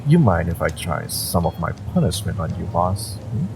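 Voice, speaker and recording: A man as a gravelly, deep-voiced, jaded driver. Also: very animated, normal speed, full character voice. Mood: wry teasing. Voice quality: low, gravelly. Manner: hesitant, offhand, wry, world-weary. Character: jaded, evasive male Omega driver, secretly a former judge. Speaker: audio roleplay performer. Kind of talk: intimate conversational audio roleplay acting. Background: music and background ambience.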